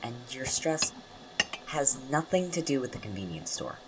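A teasing young roommate with a very deep voice and lively, swinging intonation. The talk is casual audio drama dialogue, nosy, confident and playful, with faint noise behind it. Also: female voice, normal speed, rough recording. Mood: probing, exasperated. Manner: playful, probing, expressive.

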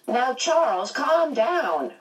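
A woman's voice: sits high, very animated, slow, far from the mic, voice pushed up, heavily acted, rough recording. A woman voicing a cheerful, chatty, motherly old woman from another world in a storybook, fussing and reassuring. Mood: reassuring, brisk. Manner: fussy, reassuring, rambling.

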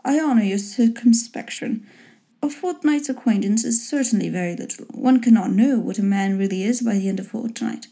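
A woman voicing a dry, teasing father in a storybook reading, in a measured, mock-formal manner. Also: mid-range voice, mellow, natural voice. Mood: wry teasing. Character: dry, teasing, unruffled father of grown daughters.

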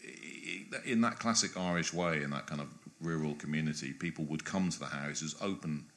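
This is a man with an extremely low, deep voice, talking at length as an interview guest. He speaks in a discursive, thinking-aloud way, often breaking off and restarting his phrases. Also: normal speed, a bit bright. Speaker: guest biographer.

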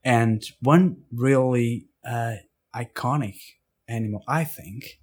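A man from Portugal with a Portuguese accent, recounting his life in a casual interview, halting with frequent restarts and fillers. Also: mid-range voice, a little mellow. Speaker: interview guest. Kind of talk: casual storytelling.

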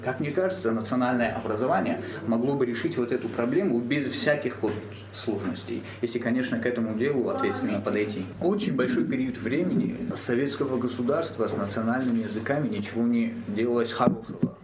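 Brief: male theatre actor, mid-range voice, earnest, deliberate, roomy sound. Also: mid-range voice, crowd noise. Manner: earnest, measured, with hesitations. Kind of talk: panel discussion. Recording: echoey room, faint background hum.

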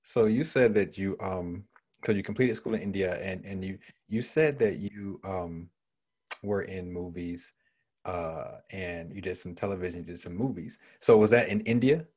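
A man's voice: deep voice, moderate pace, darkish tone. A man hosting a podcast interview, asking long, winding questions full of restarts and repeated words. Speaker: podcast host. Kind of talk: conversational interview.

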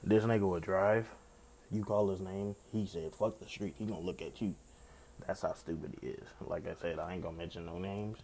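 Raspy young male podcast host, very low and gravelly, laid-back rambling delivery. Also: fairly even intonation, a little fast, darkish tone, slight background noise. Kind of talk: casual solo podcast chat.

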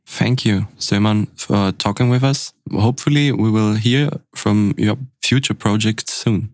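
A young man from Burgenland co-hosting a podcast, speaking softly and hesitantly with fillers. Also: lowish voice, unhurried, no echo, clean studio sound. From Burgenland, Austria. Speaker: podcast co-host. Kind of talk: casual podcast conversation.

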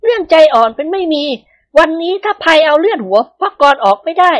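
A woman voicing a hot-tempered young wife itching for a fight in a storybook reading, shrill and spirited. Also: very animated, fairly quick. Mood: fierce.

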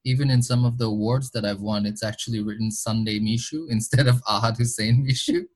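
A man's voice: mid-range voice, fairly even intonation, fairly quick, a little mellow. A young man with an American accent talks as an interview guest. He rambles in a loose, easy way and laughs often. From Bangladesh. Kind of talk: casual interview chat.

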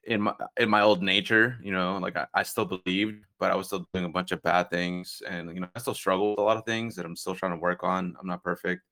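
A man's voice: sits low, fairly even intonation, a little fast, few pauses, bright, forceful tone. A young adult man chatting casually as a podcast guest, rambling with lots of "like" and "uh" and frequent restarts. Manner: rambling, many fillers and restarts. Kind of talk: casual conversation.

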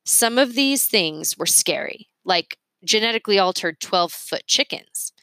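A woman's voice: mid-range voice, expressive, a little slow, pushed and bright, dry recording. A woman reading a children's story aloud as a narrator. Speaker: storybook reader.

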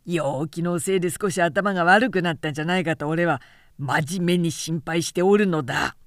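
A woman voicing a blunt, hot-tempered male warrior who grumbles in rough speech, in an audiobook novel. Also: lowish voice, moderate pace, no echo, clean studio sound, close to an everyday voice. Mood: disgruntled. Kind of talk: literary audiobook dialogue.

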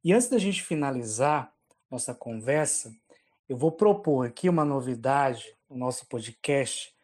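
Podcast host, measured announcing tone, mid pitch. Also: animated, a little mellow. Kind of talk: podcast hosting.

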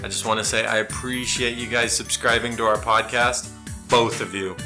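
A man's voice: medium pitch, steady tone, moderate pace, music in the background. American man joking in a roomy space. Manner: dry, self-deprecating joking. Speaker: podcast co-host. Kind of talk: casual comedic podcast chat.

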